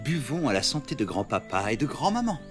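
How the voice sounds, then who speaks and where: A cheerful cartoon elephant father , played by a man, with a swooping, animated delivery. He is doting and good-natured, with loud music playing behind him. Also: mid-range voice, fairly quick, lightly acted. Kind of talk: French children's cartoon acting.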